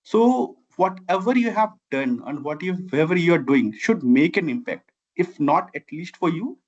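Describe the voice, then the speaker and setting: A man's voice: fairly high pitch, animated, a little slow, a little mellow, dull audio. A man in his forties from Bihar in India, with a Bihari Indian accent and Maithili as his first language, giving long, earnest, winding interview answers. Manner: earnest, long winding sentences with repeated "I have". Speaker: guest, civil engineer and founder.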